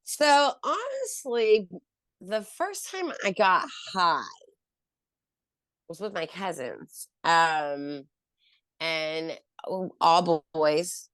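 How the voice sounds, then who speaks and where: A woman's voice: lowish voice, expressive, extremely slow, long pauses, fairly bright tone, no echo, studio quality. A woman as an interview guest, chatting casually about her work, rambling with lots of fillers and restarts. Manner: rambling, heavy with "um" and "you know" fillers and restarts. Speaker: interview guest, cannabis company founder.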